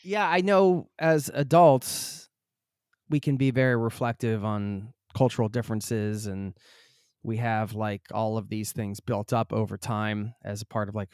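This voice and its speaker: A man's voice: on the low side, darkish tone, smooth, no echo, clean studio sound. A man hosting a travel podcast, reading a friendly intro and then chatting casually with his guest. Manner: upbeat, friendly, joking with guest. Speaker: podcast host.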